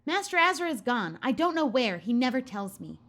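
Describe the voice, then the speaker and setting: Woman as earnest, high-voiced magician's apprentice. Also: very animated, fairly quick, a bit bright, full character voice. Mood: cautious. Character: nervous, earnest young magician's apprentice in fantasy game.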